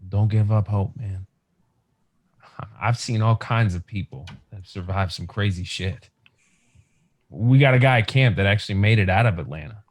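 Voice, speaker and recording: A man's voice: lowish voice, normal speed, lightly acted. A man acting a young, practical, reassuring scavenger survivor in an urgent, conversational comic book table read over a video call. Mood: grave, sympathetic.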